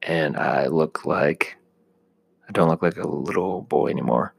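American male, thirties, low-pitched voice, loose conversational solo monologue with joking exaggeration. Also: a little slow, vocal fry, slightly rough. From United States. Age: 30s. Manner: joking, self-deprecating exaggeration. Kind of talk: casual rambling solo monologue.

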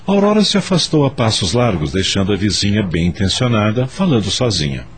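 A man's voice: lowish voice, sing-song, fairly quick, soft background music. A man with a Brazilian accent narrating a scripted radio drama in a dramatic storytelling style.

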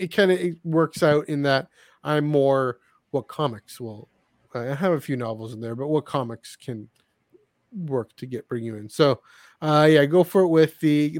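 A man hosting a podcast in casual conversation, talking in a halting, rambling way and often repeating his words. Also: medium pitch, no echo, studio quality. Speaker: podcast host. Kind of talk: casual chat, discussing books and comics.

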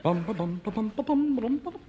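A bored, grumbling homebody. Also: male voice, high voice, animated, quick pace, highs cut off. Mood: idly singing. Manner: grumbling, tuneless humming and singing. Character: bored, restless man stuck at home on a Sunday. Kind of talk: 1950s radio sitcom acting. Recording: old radio broadcast with studio audience laughter.

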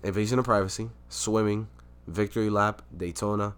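A young man with a fairly low voice co-hosts a casual podcast. He teases his friend and argues loudly and excitedly about sports. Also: fairly quick, smooth. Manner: teasing, argumentative, gets loud and excited arguing sports. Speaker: podcast co-host. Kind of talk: casual chat.

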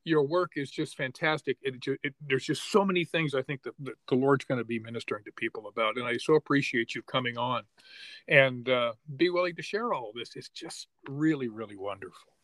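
A man hosting a podcast, enthusiastic and halting as he welcomes and questions his guest. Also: mid-range voice, no echo, low-quality recording. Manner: warmly enthusiastic, halting with restarts. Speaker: podcast host. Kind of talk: conversational interview.

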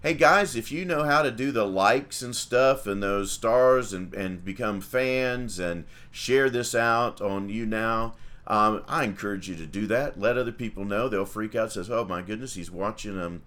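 A man's voice: fairly low pitch, unhurried, fairly bright tone. A man, a priest leading livestreamed morning prayer and chatting in a folksy way with viewers.

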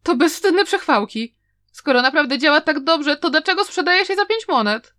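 A cocky young male cultivator, read by a woman who mocks and argues without pause. He comes out higher and louder than the narration around him. The sound is close and dry, with no room at all. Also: animated, dry recording, close to an everyday voice. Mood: indignant. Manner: mocking, quick to argue.

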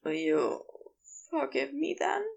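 A woman plays a guilt-ridden, tender male angel in a story reading. The voice sits high and moves slowly, with hesitant, formal phrasing that sounds anxious and apologetic. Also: huge pitch swings, vocal fry, darkish tone, lo-fi sound, heavily acted. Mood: apologetic, nervous.

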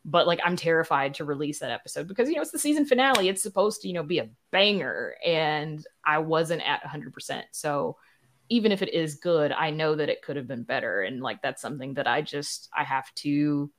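Low-voiced woman, candid and self-deprecating. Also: lively intonation, a little fast. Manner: candid, self-deprecating, punctuates talk with "you know". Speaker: podcast guest, writer and producer. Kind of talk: candid casual conversation.

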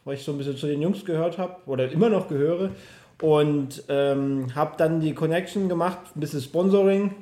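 A man in his thirties speaks as a guest in a casual conversation. His voice sits a little higher than most men's. He tells stories informally, and the room echoes noticeably. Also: moderate pace, dark tone. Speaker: podcast guest. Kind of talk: casual chat, personal storytelling. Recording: roomy, echoing room.

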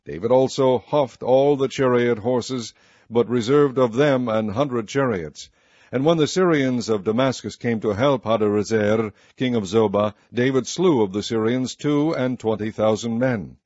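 A man reading scripture aloud in a slow, measured, solemn way. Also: mid-range voice, darkish tone, no room sound, muffled recording. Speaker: Bible reader.

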